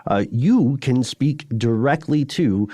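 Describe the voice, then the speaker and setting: A man's voice: medium pitch, lively intonation, slow pace, mellow, no room sound, clean studio sound. A man co-hosting a podcast in casual, bantering chat, with a wry, playful and rambling way of talking. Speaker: podcast co-host. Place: remote recording, dry close sound.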